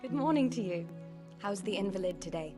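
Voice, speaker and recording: An actress plays a young medieval village woman, a miller's niece in a game drama. She is caring but world-weary, with a plain-spoken, gently chiding manner. Her pitch sits in the middle for a woman. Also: lively intonation, fairly quick, music in the background, close to an everyday voice. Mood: brisk, wry. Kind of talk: dramatic video game dialogue.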